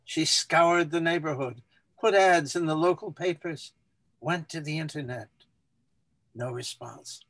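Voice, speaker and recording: A man's voice: on the high side, a little slow, fairly bright tone, no room sound, rough recording. A man reading a short story aloud as scripted, storybook-style narration.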